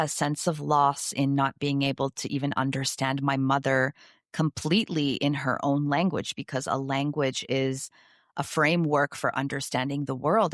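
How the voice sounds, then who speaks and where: Low-voiced woman hosting an interview. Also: no room sound, clean studio sound. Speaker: podcast host. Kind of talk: conversational interview.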